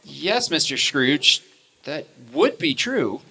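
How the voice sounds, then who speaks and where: Man playing a meek, bright-voiced office clerk. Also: a little high, sing-song, slow, gravelly, breathy edge, exaggerated voice. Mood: deferential, nervous. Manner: deferential, careful, formal. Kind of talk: radio play acting.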